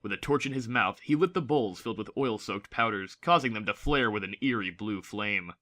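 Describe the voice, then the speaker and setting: Male audiobook narrator, lowish pitch, crisp forceful edge, dramatic scripted fantasy storytelling. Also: a little fast.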